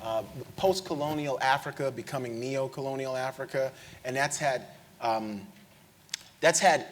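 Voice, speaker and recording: An animated male panelist on a lecture-hall stage who recounts history with growing energy, quoting people as he goes. He keeps checking in with "right?" and trips over restarts in a mid-pitched, ordinary voice. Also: measured pace, slight background noise. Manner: animated storyteller, tag questions, frequent restarts. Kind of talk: lively panel discussion.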